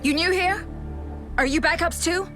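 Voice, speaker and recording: High-voiced, harried military officer. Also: female voice. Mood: urgent, impatient. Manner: brisk, commanding, impatient. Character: harried, demanding female military supply officer. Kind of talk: video game voice acting. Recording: music playing behind the voice.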